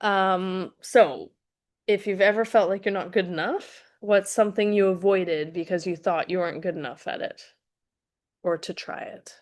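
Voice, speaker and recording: A young adult woman, hoarse from a cold, talking chattily with frequent restarts as a fitness coach in a live session. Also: mid-range voice, normal speed, a little mellow. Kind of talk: casual live-streamed talk to a chat.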